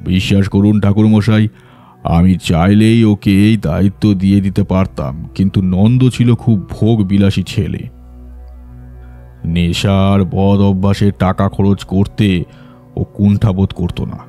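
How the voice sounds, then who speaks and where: A remorseful landlord and elder brother in an audiobook drama. His voice is low and mellow, and he confides slowly, in a measured, sorrowful way, with music underneath. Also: fairly even intonation. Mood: sombre, confiding. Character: troubled zamindar, elder brother, recounting remorsefully.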